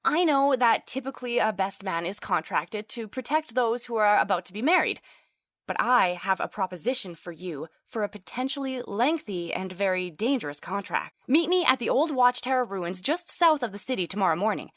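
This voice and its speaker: A woman's voice: mid-range voice, animated, normal speed, a bit bright, no room sound, clean studio sound, close to an everyday voice. A woman playing a polite, chatty elven sorceress and scholar in a fantasy audio drama. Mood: formal. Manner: chatty, courteous, formal wording.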